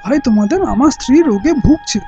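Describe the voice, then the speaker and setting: An actor playing a humble, reluctant temple bell-ringer in an audio play, meek and pleading. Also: male voice, very high voice, lively intonation, fast talker, dark tone, faint music behind. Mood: anxious, pleading. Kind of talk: theatrical radio-play acting. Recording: muffled lo-fi audio-play recording.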